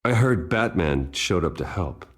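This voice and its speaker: An actor playing a serious, calm young man of wealth who is secretly a vigilante, speaking in a measured way. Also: low voice, breathy edge, exaggerated voice. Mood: concerned. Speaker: game character.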